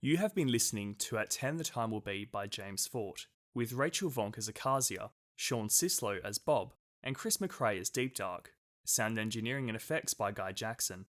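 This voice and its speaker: A man's voice: on the low side, lively intonation, moderate pace, fairly bright tone. A man reading a scripted credits announcement for a radio play.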